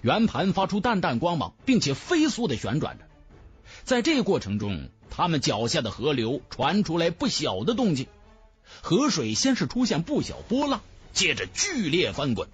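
Male audiobook narrator, highly varied intonation, dramatic scripted delivery, faint music. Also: huge pitch swings, normal speed, husky.

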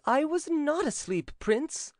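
A man voicing a cowardly, lazy servant in a storybook reading, whining and fawning with drawn-out words. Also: very high voice, very animated, dry recording, studio quality, pitched above the performer's own voice, exaggerated voice. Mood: defensive, whining. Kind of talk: audiobook character acting.

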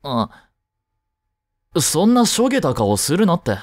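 Caring high-school boy next door , male actor, romantic audio drama; casual, reassuring, slow delivery, dry sound. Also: wildly swooping pitch, dry recording. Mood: concerned, supportive. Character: dense, easygoing teenage boy, caring childhood friend. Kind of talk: Japanese romantic audio drama addressed to the listener.

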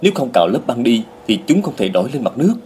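A man's voice: mid-range voice, big pitch swings, racing, mellow, slight background noise, lightly acted. A man playing an earnest, flustered husband in a dubbed cartoon, hesitant and pleading. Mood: urgent. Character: earnest, flustered husband devoted to saving animals. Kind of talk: dubbed animation acting.

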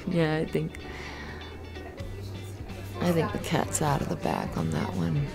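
A woman playing a cute, flustered, flirty barista in an ASMR roleplay, kind and giggly, with halting, rambling talk. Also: sits low, sing-song, creaky, exaggerated voice. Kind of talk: ASMR roleplay, conversational acting. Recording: music under the voice. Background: music.

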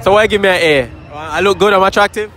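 A man's voice: high voice, fast talker. A young man with a Jamaican accent, brashly joking his way through a street interview at a fairground. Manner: brash, playful, teasing. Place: busy outdoor fairground. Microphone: handheld phone mic. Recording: loud crowd and music behind.

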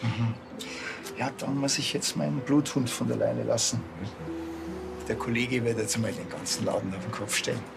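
Male actor, wry Bavarian TV policeman, creaky, dry, colloquial, faint music behind. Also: mid-range voice, steady tone, normal speed, natural voice. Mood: dry, probing.

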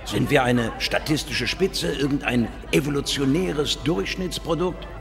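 An actor playing a confident, worldly biologist in a science fiction radio drama, dry and explanatory. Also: male voice, mid-range voice, lively intonation, measured pace, vocal fry. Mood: wry, emphatic. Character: confident, worldly male biologist and space investigator. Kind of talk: radio drama dialogue and first-person narration. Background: music.

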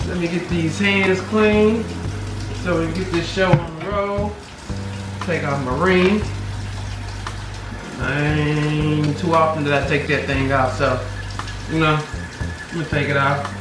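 A man's voice: a little high, fairly even intonation. A man, chatting casually to the camera while he cooks in his home kitchen. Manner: laid-back, chatty, drawn-out filler words. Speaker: vlogger cooking at home. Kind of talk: casual cooking vlog, talking to camera. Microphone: camera on the counter, several steps away. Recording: roomy kitchen echo, distant camera mic, loud background music.

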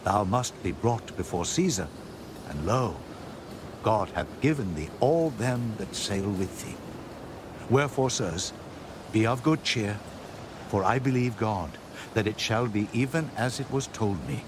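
A man's voice: lowish voice, lively intonation, unhurried, noisy background. A man playing a steadfast apostle in a dramatized scripture reading, earnest and declamatory as he exhorts frightened shipmates. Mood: earnest, reassuring.

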